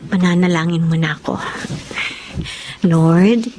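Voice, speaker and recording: A woman playing a young, hardworking and devout older sister in a Tagalog radio drama, speaking in an earnest, expressive everyday way with a Filipino accent. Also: lowish voice, slow pace, poor audio. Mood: earnest, prayerful. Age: young adult. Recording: faint background noise.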